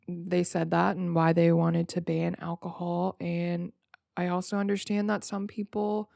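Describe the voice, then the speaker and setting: Slow-talking woman with a creaky voice. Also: fairly low pitch, darkish tone, no echo, clean studio sound. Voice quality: slightly nasal. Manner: informal, reflective, halting and rambling with repeated words. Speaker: podcast host. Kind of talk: casual freestyle solo podcast monologue.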